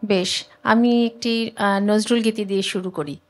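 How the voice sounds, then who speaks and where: A woman, a guest singer chatting casually in a studio in halting, trailing phrases. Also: medium pitch, fairly even intonation, faint music behind. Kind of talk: casual chat. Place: music studio.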